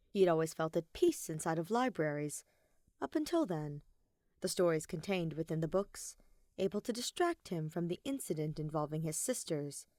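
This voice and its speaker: Female fiction narrator, lowish pitch, scripted storytelling read, very dry close sound. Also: dry recording.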